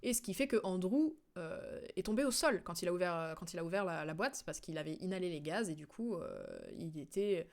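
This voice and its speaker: A woman's voice: fairly low pitch, lively intonation, a little fast, hardly any pauses. A woman streamer giving casual, rambling, explanatory commentary on a game. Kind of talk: casual commentary, recapping a game's story.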